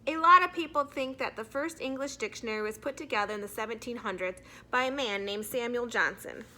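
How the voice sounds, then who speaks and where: A woman's voice: on the high side, fairly quick, pushed and bright, a little hiss or hum. A woman voicing a nervous but earnest schoolboy in a children's storybook, speaking plainly and a little hesitantly while giving a report.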